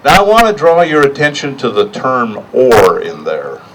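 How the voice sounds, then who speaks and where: A middle-aged man addresses a public rally with a medium-pitched voice. He speaks plainly and deliberately, partly off the cuff, pausing to stress words. The voice is picked up from a distance, with some noise around it. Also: huge pitch swings, fairly bright tone.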